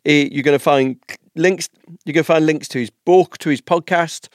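A man's voice: on the high side, moderate pace, no room sound, studio quality. A man hosting a podcast in a conversational interview style, speaking in an enthusiastic, effusive way.

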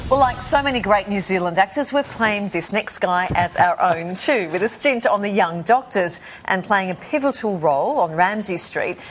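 A woman hosts a morning-show interview at a mid-range pitch, bubbly and upbeat as she reads intros and asks questions. A faint ambient hum runs beneath her voice. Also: lively intonation, normal speed, hardly any pauses. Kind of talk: breakfast TV interview.

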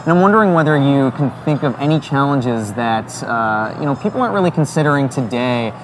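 A man's voice: medium pitch, lively intonation, a little fast, noisy background. A man interviewing a guest at an event outdoors, asking hesitant questions with many fillers. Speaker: interviewer, reporter.

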